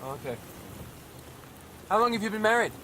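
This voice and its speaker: A man's voice: high-pitched, lively intonation, fairly quick, pushed and bright, poor audio. A man playing a polite but probing, officious border officer in an audio drama. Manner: courteous but officious questioning. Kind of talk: naturalistic audio drama acting. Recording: faint rain and vehicle noise behind.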